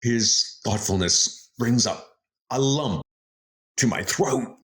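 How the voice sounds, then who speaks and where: A man's voice: mid-range voice, slow pace, a bit bright. A man playing a scheming, pompous heir and murderer, theatrical and gloating, in a comic ghost story dub. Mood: smug mocking. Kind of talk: comic dub horror acting.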